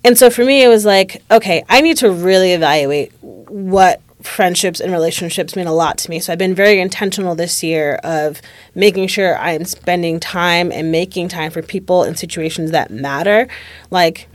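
A woman's voice: on the low side, moderate pace, dry recording. A woman in her late twenties talking candidly and reflectively in a casual conversation, peppering her speech with "like". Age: late 20s. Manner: reflective, candid, heavy use of "like".

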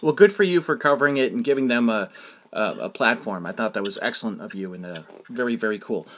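A man's voice: medium pitch, fast, a bit bright. A man interviewing a podcast host in a casual, earnest way, often stammering and restarting his sentences.

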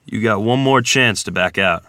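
A steely middle-aged card player performed by a man in a rural period drama. The voice sits low with a sharp, forceful edge. Every line is terse, deliberate and plain, with very little room sound. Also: no echo, clean studio sound. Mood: steely, challenging. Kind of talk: table-read screenplay acting.

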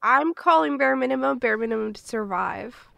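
A woman's voice: high-pitched, expressive, moderate pace, gravelly, a bit bright, dry recording. A woman co-hosting a podcast in casual chat, sounding sniffly and congested from a cold. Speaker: podcast co-host.

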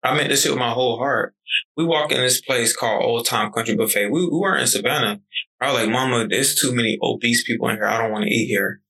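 A man's voice: mid-range voice, fast, creaky, sharp tone, low-quality recording. A young man in his twenties talking casually and animatedly on a podcast, swearing freely as he tells stories. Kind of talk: casual conversation.

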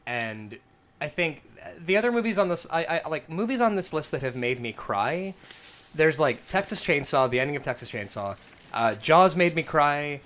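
Man with a smoke-roughened voice. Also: a little high, moderate pace. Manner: animated, swearing, acts out quotes. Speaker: podcast co-host. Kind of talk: casual film podcast chat.

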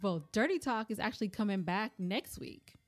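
A woman co-hosting a podcast in casual banter, playful, teasing and excitable. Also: mid-range voice, moderate pace. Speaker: podcast co-host. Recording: music under the voice.

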